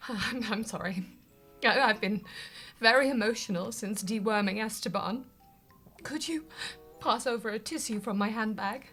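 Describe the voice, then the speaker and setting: An actress playing a melodramatic, bossy and overwrought office manager in a scripted audio comedy. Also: female voice, on the high side, normal speed, faint music behind. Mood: upset. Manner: theatrical, swings from panic to forced calm.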